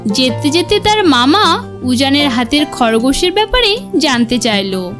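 A woman narrating a scripted cartoon fairy tale as an expressive storyteller. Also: high-pitched, unhurried. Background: music.

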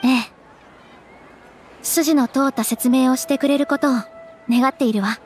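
A woman playing a gentle young noblewoman in an anime game, refined, composed and resolute, speaking politely over music. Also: sits high, steady tone, moderate pace, lightly acted. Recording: background music behind voice.

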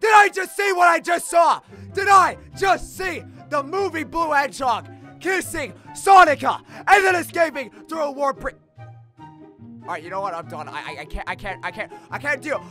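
A man's voice: extremely high pitch, lively intonation, normal speed, sharp tone, raised voice, soft background music, a faint crowd, full character voice. A man voicing a frantic, exasperated male cartoon hedgehog, stammering and overdramatic in a comic dub. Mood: exasperated ranting. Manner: overdramatic, stammering, repeats phrases.

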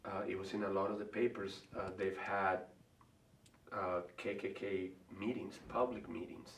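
A middle-aged man with a Venezuelan Spanish accent, answering interview questions in a thoughtful, hesitant way with many pauses and restarts. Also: deep voice, flat delivery, a bit bright. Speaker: artist and college faculty member. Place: room in front of a large painting. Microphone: far camera. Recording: echoey room from far off, faint hiss.

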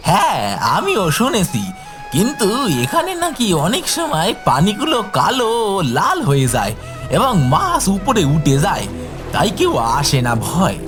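A man voicing an excitable, scheming cartoon village fisherman who talks in exclamations. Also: sits high, unhurried, over music, exaggerated voice. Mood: wary, agreeable. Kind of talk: cartoon voice acting.

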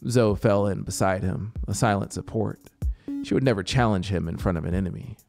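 A man narrates an audiobook in a low register with a gravelly, creaking edge. He takes his time, pausing for drama in a deliberate storytelling style. The voice is close on a studio mic, with soft music behind it. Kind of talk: scripted story narration over soundscape. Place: small home music studio, indoors. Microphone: close studio microphone with headphones. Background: atmospheric synth music.

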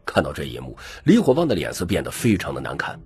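A man's voice: extremely low pitch, huge pitch swings, fast, darkish tone, slightly rough. A man narrating an audiobook in a scripted, dramatic storytelling style. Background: music.

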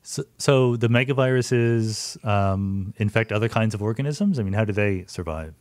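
A man's voice: on the low side, moderate pace, dry recording, clean studio sound. A man hosting a science podcast, asking curious questions and thinking aloud as he talks. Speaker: podcast host. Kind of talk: conversational science interview.

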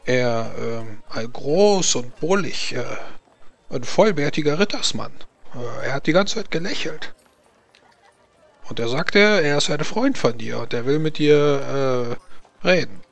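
A man's voice: on the high side, expressive, unhurried. A gossipy old landlady, played by a man in a tabletop game. She fusses in a motherly way and stumbles over her words as she chatters. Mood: fussing, helpful.

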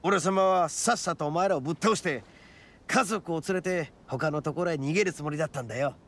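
Brash oni gang boss, highish voice. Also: male voice, brisk, a bit bright. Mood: serious, defiant. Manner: rough, cocky, emphatic delinquent speech. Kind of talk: dramatic video game voice acting.

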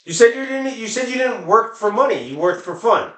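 A man's voice: high voice, very animated, a little fast, a bit bright. A man bantering casually and jokingly with friends in a living room, picked up by a camera across the room. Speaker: comedy show co-host. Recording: roomy living room echo.

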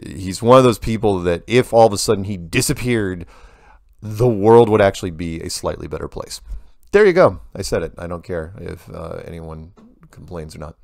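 A man's voice: low voice, gravelly, darkish tone, dry recording, clean studio sound. A man hosting a show, reading listener emails aloud and commenting casually with wry asides.

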